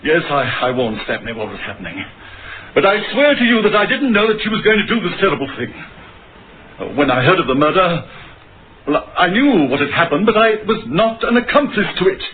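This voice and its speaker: An anxious suspect pleads in a vintage radio play. His high voice swings sharply in pitch, heard over a muffled, narrow broadcast. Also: very animated, brisk, slight background noise. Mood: desperate, pleading. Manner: agitated, protesting. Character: anxious, pleading male suspect, weak under accusation. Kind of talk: old-time radio drama acting.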